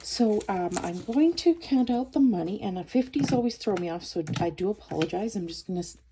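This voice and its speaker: A woman's voice: mid-range voice, expressive, brisk, noisy background. A woman with a stuffed-up nose chatting casually as a budgeting vlogger, counting cash aloud as she goes. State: stuffed up from a cold.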